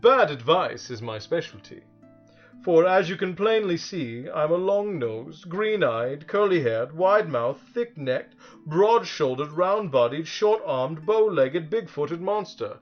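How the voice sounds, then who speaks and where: Man putting on a high-pitched, overwrought little demon. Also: expressive, a little slow, lo-fi sound, faint music behind. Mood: boastful. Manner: theatrical, exclamatory, overwrought. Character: small furry demon of insincerity, boastful fake monster, whiny crybaby.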